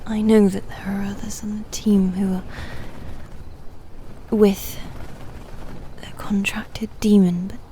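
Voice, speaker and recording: A woman playing a reserved, formal demon knight in a soft-spoken ASMR roleplay, guarded about love, speaking hesitantly and often close to a whisper. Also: mid-range voice, little pitch movement, takes it slowly, pauses often, slight background noise, lightly acted. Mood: reluctant, grave.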